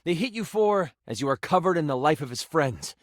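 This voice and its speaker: A high-voiced, theatrical game master played by a male actor narrates fantasy combat for a video game. His delivery is animated and dramatic, savoring key words, recorded dry and close. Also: fairly quick, no room sound, close to an everyday voice. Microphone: close studio mic.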